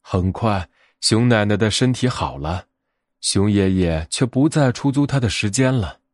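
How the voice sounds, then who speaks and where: Animated male bedtime storyteller, dry sound. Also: lowish voice, measured pace, no room sound. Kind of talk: scripted children's fairy-tale narration.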